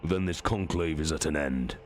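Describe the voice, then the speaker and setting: A man's voice: very deep voice, moderate pace, faint music behind. A man voicing a stern, menacing warlord with a commanding, haughty manner in a dramatic book reading. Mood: curt command. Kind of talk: dramatic audiobook character reading.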